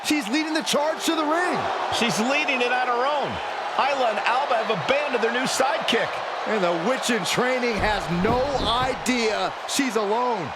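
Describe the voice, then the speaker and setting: A man voicing an excitable wrestling commentator in a video game. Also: very high voice, normal speed, over a noisy crowd, heavy background noise. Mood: excited.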